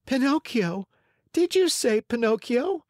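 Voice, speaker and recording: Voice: medium pitch, very animated, unhurried, a little mellow, dry recording, clean studio sound, pitched above the performer's own voice. A narrator voicing an emotional wooden puppet boy in a storybook, with a pleading, exclamatory manner. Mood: excited, anxious.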